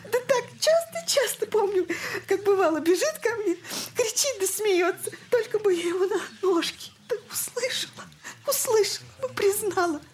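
A woman's voice: extremely high pitch, animated, normal speed, darkish tone, a little hiss or hum. An actress playing a grieving peasant mother in an audio drama, tearful and lamenting in simple folk speech. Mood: tearful longing. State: crying, tearful. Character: grieving peasant mother mourning her little son.

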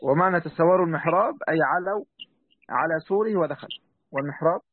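A man with an Egyptian accent teaching a classical text in an online lesson, briskly correcting his reader's pronunciation. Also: fairly high pitch, breathy edge, no room sound. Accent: Egyptian Arabic. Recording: tinny phone-like call audio.

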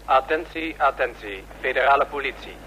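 An actor playing a formal police announcer giving an official broadcast in a Dutch radio play. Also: male voice, medium pitch, brisk, sharp tone, lo-fi sound, close to an everyday voice. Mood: urgent, official. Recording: noisy old radio drama recording.

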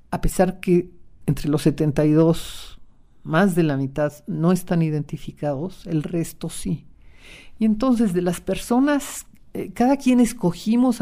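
Mexican woman, radio interview guest, slow unhurried delivery, mellow dark tone. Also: fairly low pitch. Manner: reflective, often ends phrases with a questioning tag.

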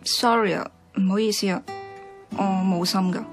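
A woman's voice: mid-range voice, fairly even intonation, normal speed, faint music behind, natural voice. An actress with a Hong Kong Cantonese accent playing a frank, idealistic young woman in colloquial radio drama dialogue. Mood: apologetic.

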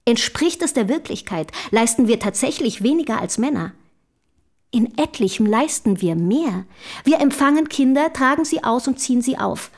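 An actress in a German historical radio drama playing an earnest, outspoken early feminist from the city, speaking formally and rhetorically. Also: female voice, on the high side, animated, normal speed. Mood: fervent, admiring.

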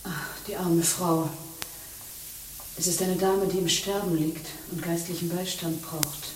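Woman playing pious abbess in a radio play, lowish voice, echoey and off mic. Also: fairly even intonation, measured pace, darkish tone, lightly acted. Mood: compassionate, resigned. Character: pious convent abbess, authoritative, charitable. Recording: distant, echoey room with faint hiss.

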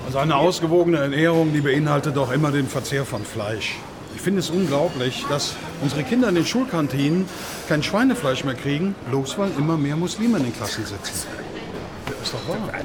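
Man acting embattled livestock farmer, high-pitched, animated, indignant grumbling, radio play, noticeable ambient noise. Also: fairly quick, lightly acted. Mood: stubborn, argumentative. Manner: blustering, grumbling, swears. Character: indignant embattled male pig farmer, aggrieved and defensive. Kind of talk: radio play acted as a documentary interview. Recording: clear background ambience.

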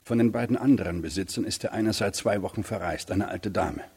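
A blunt cop, really raspy and creaky, short pushy questions, radio drama. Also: male voice, on the low side, a little fast, darkish tone. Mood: stern, probing. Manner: terse, probing questions. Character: blunt, insistent male police detective questioning suspects. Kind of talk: radio play acting.

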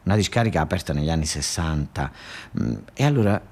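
A man's voice: low voice, animated, quick pace, darkish tone. A man with a southern Italian accent telling a comic theatrical monologue in a colloquial, repetitive, dialect-tinged way. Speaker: storyteller and stage actor.

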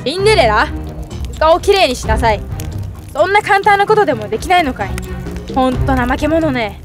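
A woman's voice: sits high, very animated, a little fast. A woman voicing a cruel, scornful stepmother in a storybook tale, with a haughty, scolding manner. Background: music.

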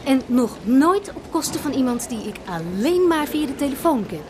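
Dry, impatient, higher-voiced woman. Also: very animated, normal speed. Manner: dry, nagging, informal. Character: impatient, dryly sarcastic woman travelling with her partner. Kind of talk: naturalistic radio drama acting. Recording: noisy airport and vehicle ambience.

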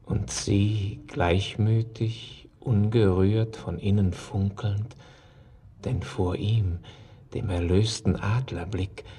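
An actor playing an ancient, weary, ghostly old miner in a poetic radio drama, gravelly and husky, speaking in a halting declamation. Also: male voice, on the low side, steady tone, dark tone. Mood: haunted, rising. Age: older.

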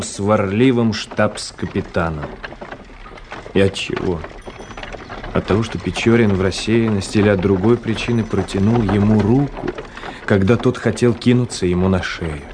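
An actor playing a reserved, polite gentleman traveller who narrates and speaks in a literary radio play, measured and reflective. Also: fairly low pitch, natural voice. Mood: wistful reflection. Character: reserved, courteous gentleman traveller telling his story. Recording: noisy old radio drama recording.

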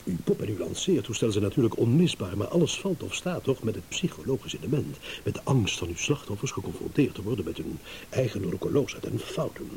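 An actor playing a shrewd, amused businessman in a radio drama, teasing and insinuating with hesitant pauses. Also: fairly low pitch, sing-song, creaky, a little mellow. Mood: probing, flattering. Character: shrewd, amused businessman, a blackmail victim turning schemer. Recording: hissy old radio play recording.

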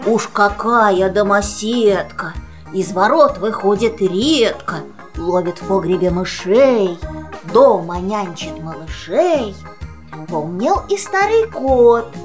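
A woman storyteller telling a children's tale in verse in a singsong manner. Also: a little high.

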